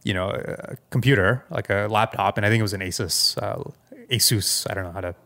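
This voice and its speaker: A man's voice: on the low side, fairly quick, fairly bright tone. A man co-hosting a podcast in a casual, rambling tech chat, informal and full of loose asides. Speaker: podcast co-host.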